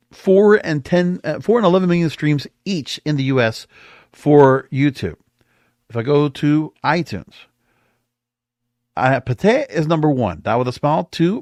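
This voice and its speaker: A man's voice: a little high, dry recording, clean studio sound. A man hosting a podcast, giving casual music chart commentary and thinking aloud as he reads out figures. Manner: off-the-cuff, thinking aloud while reading figures. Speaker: podcast host.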